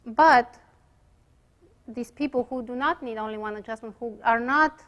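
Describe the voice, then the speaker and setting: A woman with a Bulgarian accent speaks to a room of students in a relaxed, unscripted lecture. Her voice sits slightly high, and she often hesitates, filling gaps with "um" and restarting her sentences. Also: moderate pace, lo-fi sound. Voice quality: hesitant, many fillers and restarts. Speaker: chiropractic radiologist lecturer.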